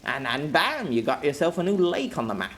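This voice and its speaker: A man voicing a gruff male soldier commander who barks orders and makes sardonic quips. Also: on the high side, very animated, a little fast, a bit bright. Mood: wry.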